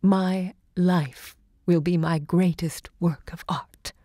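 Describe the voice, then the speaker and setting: An actress playing a self-assured literary salon hostess in an audio drama, poised and savouring each word. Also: female voice, lowish voice, fairly even intonation, takes it slowly, warm tone, no room sound, clean studio sound. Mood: resolute, proud.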